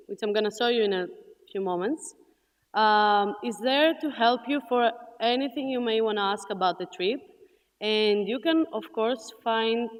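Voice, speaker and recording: A young woman giving an informal welcome presentation as a student network representative. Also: mid-range voice. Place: large indoor hall. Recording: echoey hall with long reverb.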